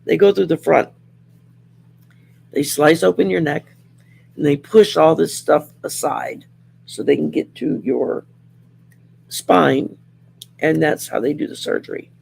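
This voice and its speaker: A middle-aged woman with a growly, gravelly voice from throat surgery, chatting casually on a livestream while pulling tarot cards, rambling and restarting often. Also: sits low, steady tone, unhurried, long pauses, darkish tone, a little hiss or hum. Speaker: host and tarot card reader. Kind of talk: casual livestream chat with card reading.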